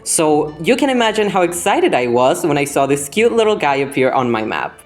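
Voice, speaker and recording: Low-pitched young woman with a Spanish accent. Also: big pitch swings, a little fast. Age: young adult. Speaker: gaming video host. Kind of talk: scripted voice-over narration of gameplay. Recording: background music under the voice. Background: music.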